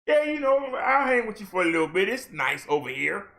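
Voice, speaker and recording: A man vlogging a heated rant in casual colloquial speech, firing off rhetorical questions and at times shouting. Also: high voice, big pitch swings, brisk, vocal fry. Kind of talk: ranting social commentary.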